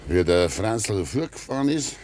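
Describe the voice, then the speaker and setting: An actor playing an older, slow and brooding farmer in a Bavarian dialect radio play from the 1950s, musing in drawn-out phrases. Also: male voice, lowish voice, sing-song, a little mellow. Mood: brooding, insistent. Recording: 1950s radio recording, faint noise.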